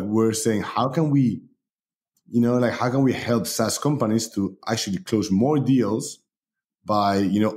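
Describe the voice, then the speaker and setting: A man from Spain, a company founder, talking as a guest in a relaxed way with many fillers. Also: lowish voice. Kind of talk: casual interview talk.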